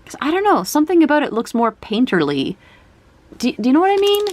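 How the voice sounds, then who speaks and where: A woman's voice: sits high, sing-song, fast, a little mellow, no room sound. A woman vlogger chatting casually while opening trading cards, musing aloud and asking her viewers questions. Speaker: card collecting vlogger. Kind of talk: casual card opening chat.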